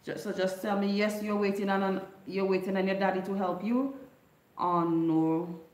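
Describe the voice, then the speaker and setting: A woman's voice: mid-range voice, fairly even intonation, normal speed, a little mellow, reverberant. A middle-aged woman from Grenada with a Grenadian Creole English accent, hosting a casual livestream chat from her living room and telling stories to her viewers. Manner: chatty, retelling a folk story, reading viewer comments. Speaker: livestream host. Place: home living room with neon lights. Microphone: desk microphone on stand.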